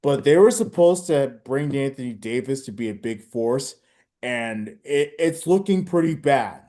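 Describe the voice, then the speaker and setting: A man co-hosting a casual sports podcast, talking in a halting, easygoing way with many fillers. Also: mid-range voice, lively intonation, warm tone. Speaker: podcast co-host.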